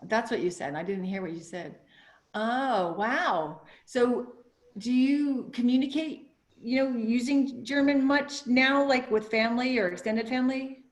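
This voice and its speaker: A woman hosts a business webinar and chats with her guest before it begins. Her voice sits fairly high, and she rambles, restarting sentences and leaning on fillers. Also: unhurried. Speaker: webinar host. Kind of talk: casual chat before a webinar.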